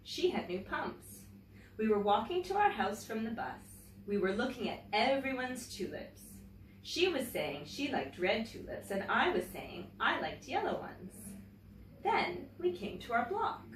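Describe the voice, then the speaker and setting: A woman teacher reading a picture book aloud to young children, with expressive, deliberate phrasing and chatty asides to her listeners. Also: a little high. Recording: roomy, distant mic, faint background noise.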